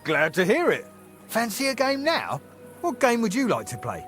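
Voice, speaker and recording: A man's voice: sits high, normal speed, faint music behind, exaggerated voice. A man playing a jovial, middle-aged medieval guildmaster in a video game, speaking heartily. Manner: hearty, expressive. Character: jovial, dice-loving older medieval guildmaster, hearty and comradely. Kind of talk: video game character dialogue.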